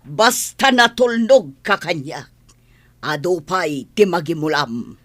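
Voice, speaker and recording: A woman plays a scheming madam of money with an Ilocano accent, bossing her henchmen in an audio drama. Her voice is bright and forceful, swinging widely in pitch, and she takes her time over the orders. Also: lively intonation, slow pace, heavily acted. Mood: smug, satisfied. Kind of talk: scripted audio drama acting.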